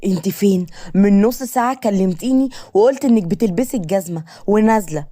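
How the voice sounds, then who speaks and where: A woman's voice: a little fast, mellow, dry recording, natural voice. A woman voicing a frightened, sarcastic young woman in an audio story, stammering and indignant, with an Egyptian Arabic accent. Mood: impatient, exasperated. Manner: high, stammering, pleading and indignant.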